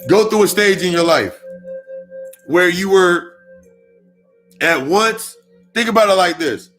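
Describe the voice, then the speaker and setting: Thirties guy, kinda high bright voice, cussing and repeating himself, faint music behind. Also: lively intonation, takes it slowly. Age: 30s. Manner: profane, repetitive, emphatic. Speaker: spiritual speaker. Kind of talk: casual spiritual monologue.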